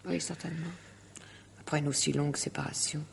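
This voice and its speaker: A woman's voice: low voice, fairly even intonation, a little fast, gravelly. A woman playing a nervy, impulsive lover in a French radio mystery drama, emotional and insistent, repeating her words. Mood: subdued. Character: nervy, impulsive woman lover, forced gaiety turning to fear.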